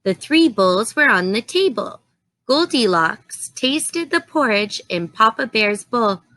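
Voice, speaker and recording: A woman storyteller reading a children's story aloud in an expressive, deliberate way. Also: a little high, no room sound.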